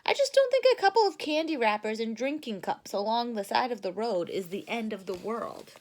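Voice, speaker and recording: A woman's voice: a little high, sing-song, fairly quick, a little mellow, a little hiss or hum. A woman voicing a folksy, dismissive father bear from a storybook, in a hearty, blustering and emphatic manner.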